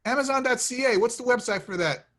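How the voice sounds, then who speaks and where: A man playing an excitable, oblivious young slacker in love, slangy, in a comedy screenplay table read. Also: very high-pitched, quick pace. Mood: excited.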